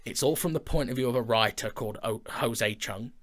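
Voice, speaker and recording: A man's voice: medium pitch, fairly quick, fairly bright tone. A man chatting casually and enthusiastically as an audio drama creator, with a jokey manner. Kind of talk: casual chat.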